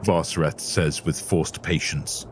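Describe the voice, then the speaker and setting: A man's voice: a bass voice, fairly even intonation, a little slow, background noise. A man reading scripted, dramatic narration for an audio story.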